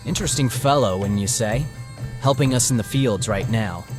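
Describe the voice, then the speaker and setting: An actor playing an affable, wry young gentleman in a fantasy audio drama, making light, teasing small talk. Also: mid-range voice, normal speed, natural voice. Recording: prominent music behind.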